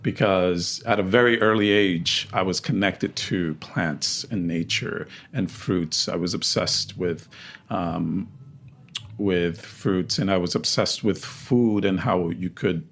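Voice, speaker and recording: A man from the Dominican Republic and the Bronx answering interview questions in a reflective, halting way, searching for his words. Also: sits low, a bit bright. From born in the Dominican Republic, raised in the Bronx from age five. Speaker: interview guest, social entrepreneur.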